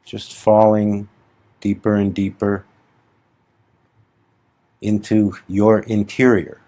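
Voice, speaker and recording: A man with a fairly low voice leads a guided meditation. He gives gentle, repetitive instructions in short phrases, leaving unhurried pauses between them. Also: flat delivery. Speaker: spiritual teacher leading meditation.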